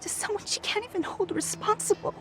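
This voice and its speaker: An actress playing a gentle woman who recalls an old friend's past in a conversational, wistful way for a video game cutscene. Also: very high voice, brisk. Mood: somber, sympathetic. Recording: studio voice with music behind.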